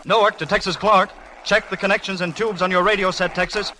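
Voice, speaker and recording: High, bright-voiced male radio operator. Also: steady tone, fairly quick, rough recording. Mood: businesslike. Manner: crisp, procedural. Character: businesslike airport radio operator. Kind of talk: 1930s film acting, radio calls. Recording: vintage film soundtrack, faint background noise.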